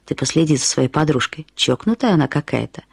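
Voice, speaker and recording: A woman acts out a gossipy female dormitory attendant in a radio play. Her voice is husky and close, and she talks in a nosy, confiding, colloquial way. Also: deep voice, expressive, brisk, a little mellow, no echo, exaggerated voice.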